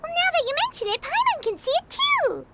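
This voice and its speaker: A tiny, childlike game fairy, with an extremely high, bright voice. She is perky and excitable, with big swoops in pitch. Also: fairly quick, slight background noise, exaggerated voice. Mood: excited, proud. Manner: exclaims, refers to self in third person. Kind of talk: video game voice acting.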